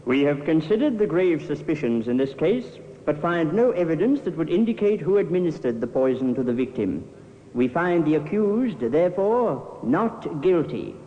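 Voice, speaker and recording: An actor playing a solemn official making formal declarations in an old-time radio drama. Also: male voice, on the high side, big pitch swings, moderate pace. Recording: dull, reverberant, noisy old radio recording.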